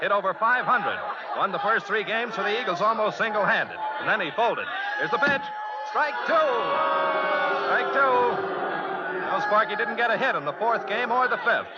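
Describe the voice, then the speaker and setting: A man's voice: sits high, big pitch swings, moderate pace. A man playing a folksy American baseball announcer in 1940s radio style, dramatic and chatty. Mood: excited. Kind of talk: 1940s radio play-by-play commentary. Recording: dull old radio recording, loud crowd noise.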